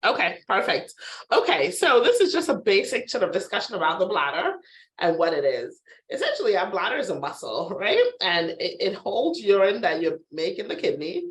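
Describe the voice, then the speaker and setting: A woman physician giving an introductory health webinar over a video call, her voice hoarse from a sore throat, conversational with frequent fillers and throat clearing. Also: mid-range voice, big pitch swings, normal speed. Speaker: physician presenter. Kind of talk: webinar lecture.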